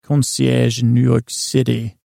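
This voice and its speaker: A man's voice: medium pitch, monotone, a little slow, vocal fry, dark tone, no echo, clean studio sound. A man playing a polite, proud, servile New York hotel concierge in a formal, courtly, pompous manner for a comic bedtime podcast.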